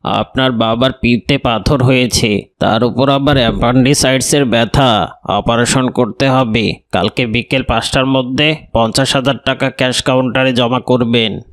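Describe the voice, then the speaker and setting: A man's voice: mid-range voice, steady tone, moderate pace, fairly bright tone, natural voice. A man voicing a matter-of-fact hospital doctor in a cartoon, giving plain, businesslike instructions. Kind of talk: Islamic moral cartoon dialogue.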